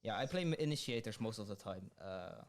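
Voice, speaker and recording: A man's voice: on the low side, expressive, brisk. A young man hosting a casual gaming podcast, hesitant and full of fillers and restarts. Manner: hesitant, stammering, lots of fillers. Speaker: podcast host.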